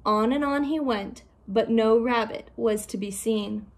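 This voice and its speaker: A woman narrates a children's storybook in a fairly high voice. She takes her time, with a very unhurried pace and measured, expressive delivery. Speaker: storyteller reading a children's book aloud.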